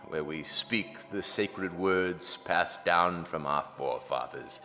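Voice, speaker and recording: Pompous, wildly swooping horror announcer. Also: male voice, exaggerated voice. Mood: ominous, solemn. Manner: grandiose, slow, dramatic delivery with hushed lows and roaring highs. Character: pompous, theatrical horror-show announcer, solemn then menacing. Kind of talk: scripted horror radio drama. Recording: long hall reverb, music underneath.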